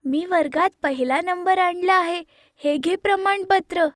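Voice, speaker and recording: A woman voicing a gentle, self-sacrificing elder sister, a girl, in an animated moral story, speaking in a soft, conciliatory way. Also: extremely high pitch, a little slow, dry recording, studio quality, pitched above the performer's own voice. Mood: happy.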